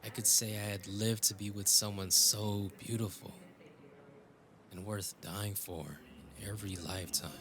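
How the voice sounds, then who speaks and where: Low-voiced, world-weary cyberpunk dreamer acted by a man. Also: background noise, lightly acted. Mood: tender, intimate. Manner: intimate, reflective, switching into Tagalog phrases. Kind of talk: scripted romantic audio roleplay.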